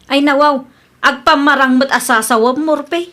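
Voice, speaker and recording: Young filipina as a protesting niece, very high voice, ilocano accent, radio drama. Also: female voice, steady tone, a little slow, bright, forceful tone. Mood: defensive.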